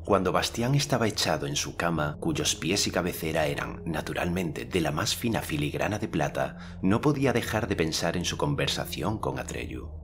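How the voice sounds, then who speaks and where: A man narrating an audiobook in a measured, unhurried manner. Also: a bass voice, expressive, noisy background. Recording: narration over clear background music.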